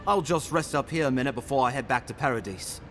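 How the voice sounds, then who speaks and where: A man's voice: medium pitch, lively intonation, quick pace, a bit bright, natural voice. An actor playing a wounded expedition member in a video game, a coarse, folksy grumbler who is bitter at his crew. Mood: wry, grateful. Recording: music behind the voice. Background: game music.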